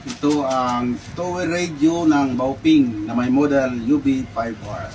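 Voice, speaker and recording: A Filipino man giving a casual, halting unboxing talk to his viewers, often repeating his words. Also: medium pitch. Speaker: vlogger presenting a product unboxing. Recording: distant mic, clearly noisy background with birds.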